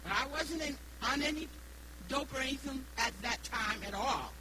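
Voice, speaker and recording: A woman in her sixties with a New England accent preaches haltingly, repeating phrases and stressing key words. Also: high voice. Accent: New England American. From Rhode Island, United States. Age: 60s. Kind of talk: evangelical sermon with personal testimony. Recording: noisy live recording with hum.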